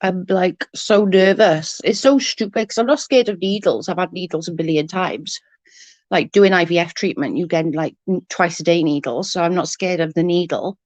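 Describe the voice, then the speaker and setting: A woman's voice: lowish voice, normal speed, no echo. A woman with a blocked-up, snotty voice that is starting to go, chatting casually with viewers on her livestream and greeting them by name. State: congested, coughing, voice going. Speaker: livestream host. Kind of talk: casual chat with live viewers.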